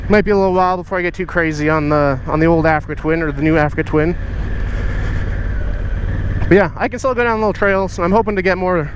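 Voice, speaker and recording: A man with a cold, sounding congested, talking in a relaxed, rambling way into a helmet mic while riding outdoors. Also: sits high, quick pace, muffled recording. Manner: laid-back and rambling, many fillers. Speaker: motorcycle vlogger. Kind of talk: casual helmet-cam vlog while riding. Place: outdoors on a motorcycle in traffic. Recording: helmet mic with loud road noise. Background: engine and road noise.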